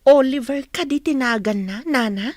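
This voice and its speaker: A woman's voice: high voice, normal speed, darkish tone, no room sound, natural voice. An actress playing a polite, naive young country woman in an Ilocano radio drama. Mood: curious.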